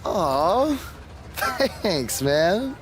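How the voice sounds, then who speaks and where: A man acting as an earnest young rookie pro wrestler in a video game cutscene, talking casually and haltingly with his buddy. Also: fairly high pitch, very animated, noisy background, exaggerated voice. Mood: amused, friendly. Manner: casual, halting, bro-ish talk.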